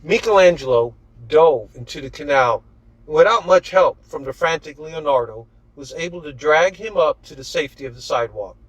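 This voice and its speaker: A man's voice: a little high, animated, moderate pace, no echo, highs cut off. A man reading his own novel aloud as scripted audiobook narration.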